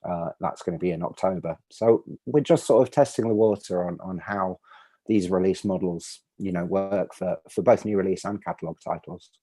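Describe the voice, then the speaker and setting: A man who runs a film distribution company, speaking on a video panel in a halting way with many ums and uhs. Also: deep voice, dark tone, no room sound. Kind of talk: casual panel discussion.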